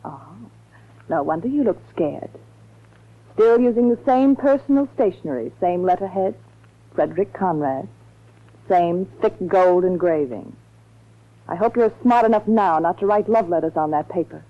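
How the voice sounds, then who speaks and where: Actress as brazen young blackmailer, muffled radio sound. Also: female voice, fairly low pitch, moderate pace, close to an everyday voice. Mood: mocking. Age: young adult. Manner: taunting, self-assured, sardonic. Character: brazen, cool young female blackmailer, mocking and hard. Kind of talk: 1940s radio acting. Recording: muffled vintage radio broadcast.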